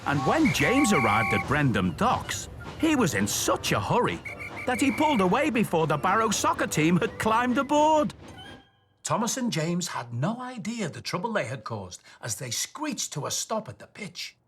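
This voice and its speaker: A man telling stories and presenting for young children in an expressive, clearly enunciated way. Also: sits high, normal speed, soft background music.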